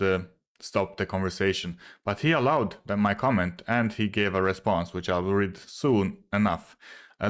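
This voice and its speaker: A man's voice: low-pitched, smooth. A man whose first language is not English, casually arguing a point in a video, hesitant with many fillers and restarts, often checking in with "right?". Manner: explains step by step, often asks "right?". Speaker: video creator responding to a commenter. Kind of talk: casual argumentative commentary.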